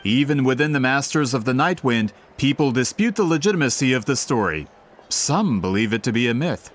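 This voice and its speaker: A man's voice: medium pitch, animated, brisk, soft background music, lightly acted. An actor playing a polite, eager book merchant with a theatrical salesman's manner in a video game. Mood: explanatory.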